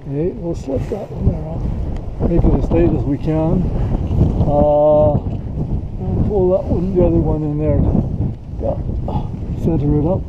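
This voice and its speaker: Higher-pitched man directing work in wind. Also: measured pace, long pauses. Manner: practical, directing, thinking aloud in short phrases. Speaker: work crew leader. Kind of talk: casual work instructions to helpers. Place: outdoors on a snowy windy mountain. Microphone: body-worn action camera. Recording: muffled action camera, loud wind noise.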